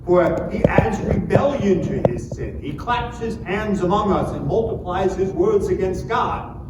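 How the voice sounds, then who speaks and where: A man's voice: high-pitched, expressive, measured pace, recorded from a distance. A man acting an indignant preacher in an echoing hall. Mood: indignant, solemn. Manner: declamatory, rhetorical questioning. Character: angry, righteous man rebuking the sufferer Job. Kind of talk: dramatic scripture reading. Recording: echoey hall from afar, noisy.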